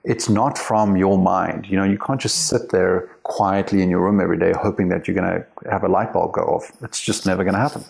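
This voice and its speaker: Deep, gravelly South African man. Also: steady tone, brisk, hardly any pauses, darkish tone. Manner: candid, emphatic, laughs easily, repeats words while thinking. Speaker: guest, company CEO and author. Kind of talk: casual interview chat.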